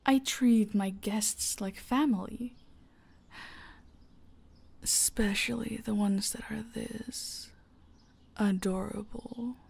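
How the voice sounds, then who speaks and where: A woman's voice: mid-range voice, steady tone, darkish tone, heavily acted. Woman acting a commanding, teasing female mafia boss. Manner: flirtatious, commanding, slow deliberate phrasing with breathy whispered endings. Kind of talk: roleplay audio drama, intimate acting.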